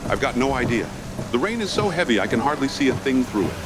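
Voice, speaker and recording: A man's voice: medium pitch, sing-song, fast talker, noisy background, close to an everyday voice. An actor voicing a down-to-earth, exasperated male ghost hunter, plain-spoken and wryly grumbling, in a motion comic. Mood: wry worry. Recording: loud music bed under voice.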